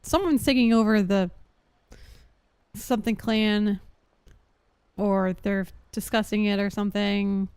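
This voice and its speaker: A woman's voice: medium pitch, steady tone, a little slow, vocal fry, pauses often, a little mellow, smooth, no room sound. A young adult woman chatting casually as she streams a game, rambling in an informal, unsure way. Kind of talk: casual live stream chat.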